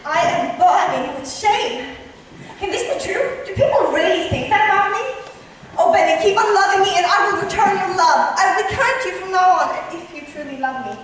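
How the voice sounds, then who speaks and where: A woman in a student drama club playing a proud young woman softened by love, in a stage soliloquy. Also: very high voice, lively intonation, a little fast. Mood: ashamed, lovestruck. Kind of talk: amateur stage acting. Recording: very echoey hall, distant mic, faint hiss.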